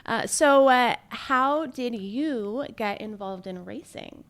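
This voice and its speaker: A woman's voice: on the high side, takes it slowly, fairly bright tone. A woman co-hosting a podcast, introducing a guest with enthusiasm and plenty of fillers. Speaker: podcast co-host.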